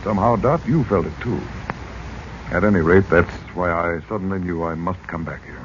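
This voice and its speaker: Man as grave magician uncle, 1940s radio drama, lowish voice, portentous calm, dull vintage sound. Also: moderate pace, lightly acted. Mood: ominous, calm. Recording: muffled, noisy old radio recording.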